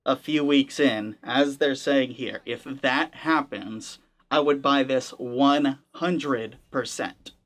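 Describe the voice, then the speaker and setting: A young man hosting a gaming channel, talking to camera in a casual, skeptical way, with wry asides. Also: medium pitch. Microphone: camera-facing setup.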